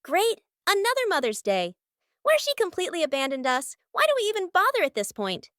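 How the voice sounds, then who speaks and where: A woman's voice: very high voice, huge pitch swings, a little fast, background noise, exaggerated voice. A woman voicing a resentful, neglected young son in a comic dub. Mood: bitter. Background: loud music.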